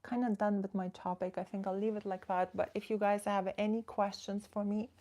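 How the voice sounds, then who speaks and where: A woman's voice: medium pitch, level tone, fairly quick, dark tone. A woman from the Netherlands, a relationship coach, chatting casually on a livestream, reading viewers' comments and giving direct advice.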